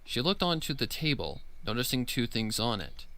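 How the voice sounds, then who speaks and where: A man's voice: mid-range voice, sing-song, normal speed, pushed and bright, a little hiss or hum. A man reading scripted story narration as a fan fiction narrator.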